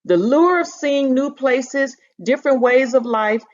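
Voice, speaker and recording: A woman reading the lines of a lively, well-travelled old seaman in a storybook, with a reflective storytelling manner. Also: high-pitched, measured pace, muffled recording, voice pushed up. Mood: fond, reflective.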